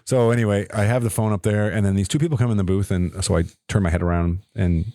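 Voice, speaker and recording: Middle-aged Nova Scotian man, quick, cursing storyteller, dry close sound. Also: no echo. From Nova Scotia, Canada. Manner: animated, swearing, high voice when quoting people. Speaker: podcast co-host. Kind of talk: casual storytelling chat.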